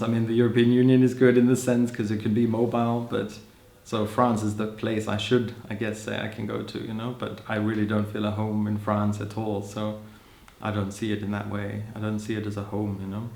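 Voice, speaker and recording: A man in his thirties who grew up in Hong Kong, speaking as an interviewee in a thoughtful, musing way, often adding "you know" and soft laughs. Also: fairly low pitch, vocal fry. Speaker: interviewee, social anthropologist. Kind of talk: reflective personal account. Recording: roomy echo.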